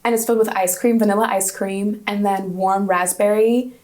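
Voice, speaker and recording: A woman's voice: mid-range voice, fairly even intonation, moderate pace, darkish tone. Chatty young woman student guest. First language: Hungarian. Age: 20s. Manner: chatty, upbeat, with frequent restarts. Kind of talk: casual interview answers.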